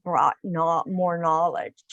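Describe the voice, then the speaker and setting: An older woman hosts a conversational interview at a slow, unhurried pace. Her delivery is halting and her phrases often trail off. The sound is dry, with very little room in it. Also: on the low side, fairly even intonation, creaky, a bit bright, no echo, lo-fi sound. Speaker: host interviewing guests.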